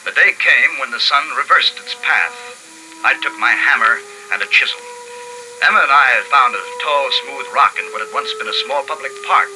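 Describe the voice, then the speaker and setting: Earnest young male survivor and narrator. Very bright, forceful, animated delivery, 1950s drama style, music behind. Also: mid-range voice, moderate pace, rough recording. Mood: grave narration. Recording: old radio broadcast with music behind.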